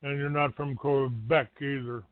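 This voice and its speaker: A man's voice: medium pitch, steady tone, normal speed, no room sound. A man hosting a live call-in show in an abrasive, combative, foul-mouthed way. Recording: tinny phone-grade dry audio.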